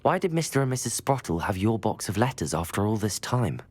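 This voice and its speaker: A man's voice: lowish voice, big pitch swings, fairly quick, darkish tone, husky. A man playing a polite, helpful young wizard-school student in a video game, speaking. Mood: polite, curious. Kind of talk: video game cutscene dialogue.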